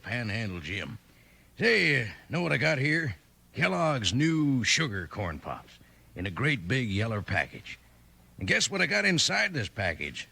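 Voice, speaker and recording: A hearty old cowboy selling in a vintage radio ad. The voice is raspy and gravelly with a folksy Western drawl, swinging lively up and down. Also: male voice, medium pitch, exaggerated voice. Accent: American Western drawl. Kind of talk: 1950s radio advertisement.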